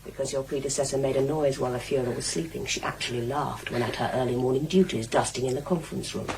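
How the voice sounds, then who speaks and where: A woman's voice: rumbling low, steady tone, fast, background noise. An actress playing a stern, domineering housekeeper in a radio drama, curt, commanding and menacing with her staff. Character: stern domineering housekeeper in charge of Nazi-era servants.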